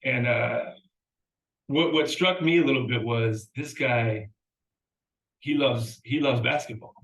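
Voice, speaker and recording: Male interview host, mid pitch, curious conversational questions, roomy audio. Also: takes it slowly, gravelly, a bit bright. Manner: curious, conversational, with repeated words. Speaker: podcast host.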